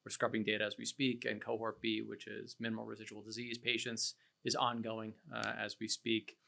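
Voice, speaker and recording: A man's voice: lowish voice, completely flat delivery, brisk, gravelly, bright, forceful tone. A man introducing his company on a business panel, with a wry remark. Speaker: biotech CEO panellist.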